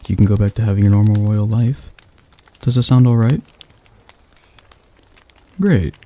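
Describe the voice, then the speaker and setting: Man voicing a husky, soothing demon tutor. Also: lowish voice. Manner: soft, slow, soothing delivery; slight stutter. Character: calm male demon tutor, gentle and reassuring. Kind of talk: ASMR roleplay.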